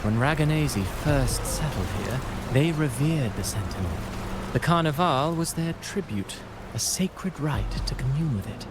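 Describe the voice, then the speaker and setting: An actor playing an eloquent playwright and storyteller in a video game, with a polite, formal, theatrical manner. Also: male voice, on the high side, animated, normal speed, music in the background, lightly acted. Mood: courteous storytelling. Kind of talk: video game dialogue acting.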